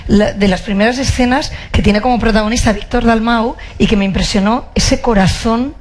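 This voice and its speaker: A woman's voice: on the high side, steady tone, moderate pace. A woman interviewing an author about her book, attentive and ready with short affirmations.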